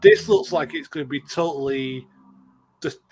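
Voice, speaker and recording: A man giving his opinion in a casual discussion, halting and often repeating himself as he qualifies what he says. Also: on the high side, wildly swooping pitch, vocal fry, crowd noise. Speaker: discussion panelist. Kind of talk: casual debate.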